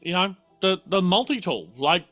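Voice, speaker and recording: A man running a tabletop game as game master, casual and easygoing, talking in halting bursts. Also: high-pitched, expressive, sharp tone. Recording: faint music behind. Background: faint music.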